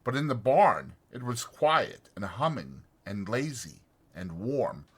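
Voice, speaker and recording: A man's voice: medium pitch, sing-song, slow, husky. A man reading a story as a dramatic audiobook narrator.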